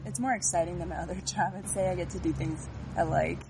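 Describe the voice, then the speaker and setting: Low-voiced casual young woman, street noise. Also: fairly even intonation, fast talker, creaky. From Minnesota. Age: young adult. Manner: casual, trails off with "so". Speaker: student interviewee. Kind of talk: casual field interview answers. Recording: traffic noise behind.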